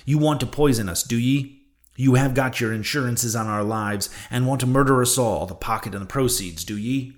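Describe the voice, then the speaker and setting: A man's voice: medium pitch, moderate pace, natural voice. A man voicing an indignant, sarcastic whaling ship's mate in a sea-novel audiobook, emphatic and full of mocking questions. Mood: angry, accusing.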